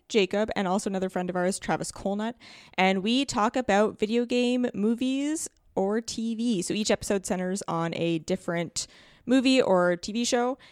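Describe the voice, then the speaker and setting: A woman with a very clear, smooth voice of medium pitch, recorded dry. She speaks in a casual, conversational way as a podcast guest. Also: no room sound. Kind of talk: casual chat.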